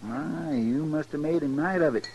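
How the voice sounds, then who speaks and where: A man's voice: on the high side, normal speed, close to an everyday voice. A man acting a fussy, good-natured western sidekick in a radio drama, with a folksy Southwestern American drawl. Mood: grumbling, chatty. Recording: old radio recording, faint noise.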